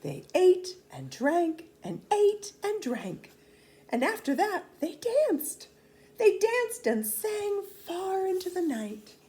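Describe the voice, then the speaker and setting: Female children's storyteller, expressive read-aloud with dramatic emphasis, slow pace, very animated intonation. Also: very high-pitched.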